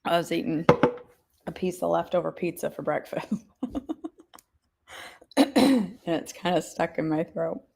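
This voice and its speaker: Wheezy woman devotional host, coughs and clears her throat, chatty and direct with listeners, reads scripture aloud. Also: on the low side, unhurried, pauses often, darkish tone, slight background noise. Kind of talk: casual chat then Bible reading aloud.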